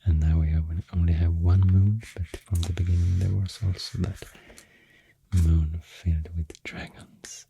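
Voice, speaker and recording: A man with a Swedish accent speaking softly and breathily, often close to a whisper, in a soothing ASMR ramble, close to the microphone. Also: level tone, slight background noise. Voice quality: deep, soft, breathy, often near whisper. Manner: slow, hushed, soothing. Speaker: ASMR host. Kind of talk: soft-spoken ASMR rambling.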